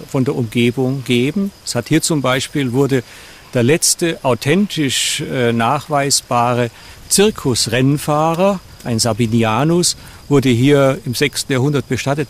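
Halting male expert over clear background noise. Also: mid-range voice. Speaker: archaeologist interviewee. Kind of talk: unscripted expert interview explanation.

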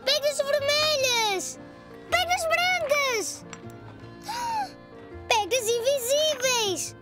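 A woman voicing a cheerful, excitable four-year-old boy in a cartoon dub, with a European Portuguese accent. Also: very high voice, very slow, over music, heavily acted. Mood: excited. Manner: eager, exclamatory. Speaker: voice actor. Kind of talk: cartoon dubbing.

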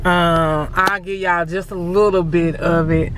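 A middle-aged woman chatting casually in an unhurried drawl as she vlogs from inside a car. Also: fairly low pitch, steady tone, background noise. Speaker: vlogger. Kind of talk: casual chat while driving.

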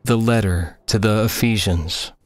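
Casting: deep male announcer, scripted scripture titles, clear ambient noise behind. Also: unhurried. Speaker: announcer of readings.

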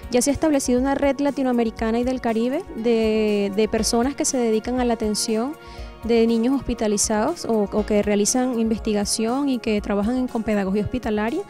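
Higher-pitched, mellow Venezuelan woman. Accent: Venezuelan Spanish. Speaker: academic advisor, interviewee. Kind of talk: prepared interview monologue to camera. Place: outdoors, in a garden courtyard. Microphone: handheld microphone close to the mouth.

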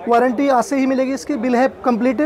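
A man in his thirties pitching camera gear as a salesman, listing products and prices in a quick, repetitive way. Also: fairly even intonation, crowd noise. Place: busy indoor exhibition hall.

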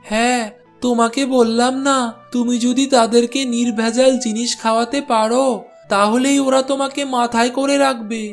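A man's voice: very high-pitched, flat delivery, moderate pace, creaky, soft background music. A man voicing a kindly, helpful village porter who reassures his friend in a cartoon.

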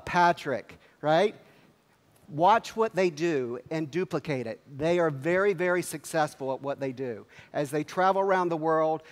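A middle-aged man with a headset mic, giving an energetic motivational speech on a large convention stage and firing questions at the audience. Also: fairly high pitch. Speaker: company executive speaking at a convention. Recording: long hall reverb.

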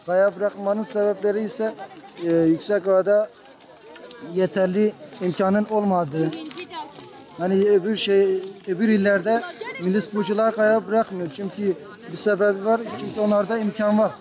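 A man's voice: high voice, fairly even intonation, moderate pace, a little mellow. A young man, a local skier, earnestly asking for support, hesitating with fillers while interviewed outdoors in freezing cold. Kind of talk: street interview. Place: outdoors at a ski race in deep cold. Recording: outdoor crowd chatter behind.

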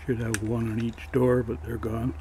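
A man showing his collector's finds in a casual, matter-of-fact way, pausing as he handles each piece, recorded on a camera mic. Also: mid-range voice, level tone, background noise. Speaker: toy collector showing flea market finds. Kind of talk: casual show-and-tell narration.

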